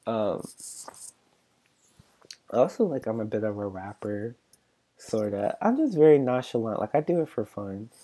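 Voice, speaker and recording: A soft-spoken man in his mid-twenties chats loosely as a podcast host, his tone mellow and dark. He stops and restarts often and keeps leaning on filler words. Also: lowish voice, expressive. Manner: halting, filler-heavy, many restarts and likes. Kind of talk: rambling casual chat.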